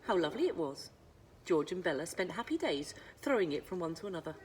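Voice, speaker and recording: A woman narrating a children's storybook as a librarian would, with dramatic pauses and emphasis. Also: lowish voice, sing-song, a little mellow, soft background music. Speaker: school librarian reading aloud.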